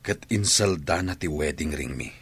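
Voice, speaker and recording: A man's voice: a bass voice, expressive, moderate pace, slightly rough, heavily acted. A man playing a troubled husband and grown son in an audio drama. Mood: grave, disappointed. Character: troubled husband, an adult son confiding in his mother. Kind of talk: dramatic radio-drama dialogue.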